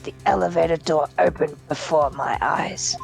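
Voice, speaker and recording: A woman's voice: sits low, steady tone, gravelly, a little mellow, soft background music. A woman voicing an arrogant, vengeful male prosecutor villain in a theatrical, menacing fan dub of a courtroom game. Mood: brooding, gloating. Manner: theatrical, menacing, deliberate delivery.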